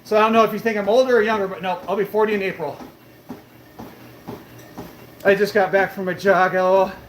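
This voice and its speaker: A man's voice: sits high, steady tone, fairly quick. A man in his late thirties, livestreaming while on a treadmill, brash and boastful, a bit out of breath. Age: 30s. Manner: boastful and brash, swears casually. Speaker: fitness livestreamer. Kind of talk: casual livestream chat reading comments. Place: gym on a treadmill. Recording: echoey gym room with faint noise.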